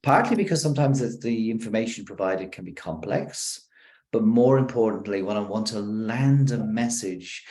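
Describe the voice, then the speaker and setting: An Irish man, a professor, giving a conversational talk on communication over a video call, with quick bursts of speech broken by deliberate pauses. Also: on the low side, darkish tone. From Ireland.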